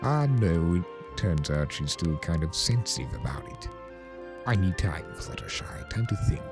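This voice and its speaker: A man playing an immortal trickster creature, awkward, tender and teasing, with hesitant dramatic pauses in a fan audio drama. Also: low voice, lively intonation. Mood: apologetic, anxious. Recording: music behind the voice.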